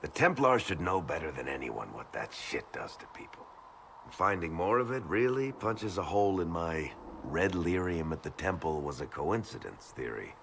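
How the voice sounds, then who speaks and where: An actor playing a worldly, plain-spoken adventurer in a fantasy game, casual and wry. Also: male voice, low-pitched, sing-song, moderate pace, creaky, fairly bright tone, a little hiss or hum, heavily acted. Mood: wry, troubled. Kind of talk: casual dramatic game dialogue.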